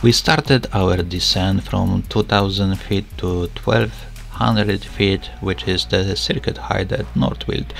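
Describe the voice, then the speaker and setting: Male student-pilot narrator, explanatory, low and heavily fried voice. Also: fairly even intonation, slow, vocal fry, a little hiss or hum. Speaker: student pilot vlogger narrating own flight.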